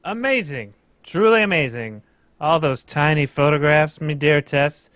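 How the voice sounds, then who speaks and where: A man's voice: a little high, a little slow, a bit bright, no echo, clean studio sound. A man reading an old-fashioned character in a table read of a 1940s radio detective drama, with theatrical period phrasing. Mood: admiring. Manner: theatrical, old-timey exclamations.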